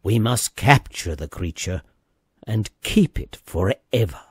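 A man voicing the excitable, anxious people of an island tribe in a storybook, exclaiming and fretting. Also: fairly low pitch, slow, husky, no room sound, studio quality, exaggerated voice. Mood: resolved.

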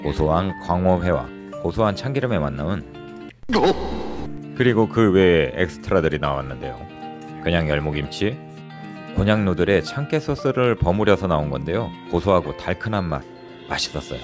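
A man's voice: low-pitched, animated, moderate pace. A man hosting a food review vlog with scripted voice-over narration. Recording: loud music behind the voice. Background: music.

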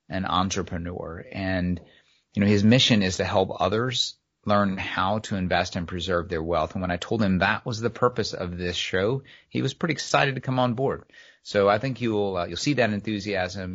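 Male podcast host with a low voice and a friendly, loose delivery full of fillers, on muffled lo-fi audio. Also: husky. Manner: friendly, relaxed, with frequent "uh" fillers. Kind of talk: casual podcast interview.

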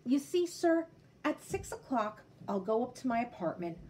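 A kindly old man who keeps a small shop, from a children's storybook, voiced by a woman. He speaks politely and modestly, a bit hesitant, with expressive pitch movement. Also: normal speed. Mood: gentle. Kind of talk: storybook read-aloud.

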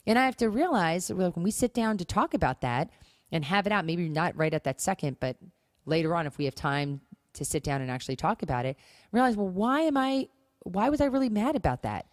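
Low-pitched woman co-hosting, close dry sound. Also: lively intonation, a little fast, dry recording. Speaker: podcast co-host. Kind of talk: casual conversational talk on marriage and parenting.

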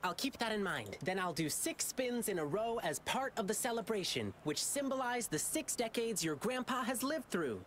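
A woman's voice: mid-range voice, very animated, moderate pace, hardly any pauses, slight background noise, lightly acted. A woman playing an eager, earnest young lion dancer boy in a video game, cheerfully chanting blessings. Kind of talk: English video game voice acting.

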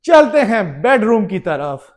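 A man in his thirties giving a casual vlog tour in an animated, exclamatory showman's manner. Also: high-pitched, a little slow, slight background noise. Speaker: travel vlogger. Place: outdoors on a wooded road, then inside a cottage.

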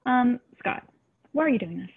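Woman playing a peppy, husky, tinny-sounding little sister. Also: on the high side, lively intonation, normal speed, heavily acted. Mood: probing. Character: cute, peppy nineteen-year-old gossiping little sister. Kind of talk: table-read acting over video call. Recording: tinny, band-limited call audio.

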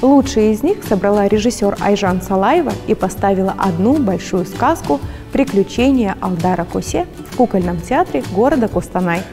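Female lecturer, warm storytelling read for kids, soft music bed. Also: mid-range voice, expressive, normal speed, hardly any pauses, big-room sound. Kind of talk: scripted narration for children.

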